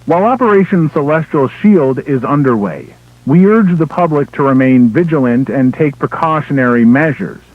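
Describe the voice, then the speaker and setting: A man playing an official emergency broadcast announcer, reading a scripted alert in a formal, measured, impersonal way through a radio filter. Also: on the high side, lively intonation, no room sound. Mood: grave, urgent warning. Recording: tinny, band-limited emergency radio broadcast.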